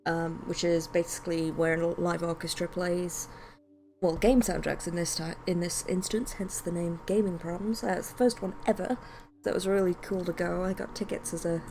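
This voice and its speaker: A woman's voice: lowish voice, a little mellow, a little hiss or hum. A British woman streaming a game, speaking casually and informally as a live-stream host. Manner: chatty, informal. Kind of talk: casual live-stream chat with read-aloud story narration.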